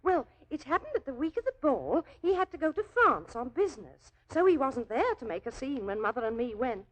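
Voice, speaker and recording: High-voiced, flustered, naive young Englishwoman. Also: sing-song, fairly quick, fairly bright tone, heavily acted, poor audio. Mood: chatty, deferential. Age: young adult. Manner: deferential, chatty, flustered, with homely ungrammatical turns of phrase. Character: naive, genteel working-class young woman, earnest lovelorn client. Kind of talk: 1940s radio drama acting.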